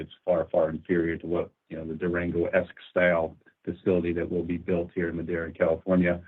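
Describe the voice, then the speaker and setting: A male executive explains business matters in a conversational way on a conference call. His voice is very deep and stays on an even, flat pitch. It comes through a narrow telephone band. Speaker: company executive answering analysts. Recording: narrowband conference phone line.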